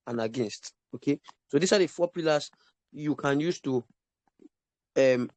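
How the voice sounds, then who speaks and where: A man's voice: on the high side, darkish tone, no echo. A man coaching sales in a live online class, repeating points and checking in with listeners. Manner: repetitive, emphatic, checks in with listeners. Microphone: online call.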